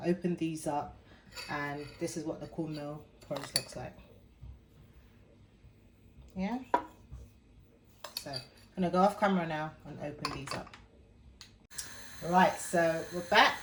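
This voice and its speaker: A woman's voice: fairly low pitch, background noise. A woman with a Jamaican accent, talking through a cooking tutorial in her kitchen, with a chatty habit of asking "yeah?" after most phrases. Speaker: home cook. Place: home kitchen.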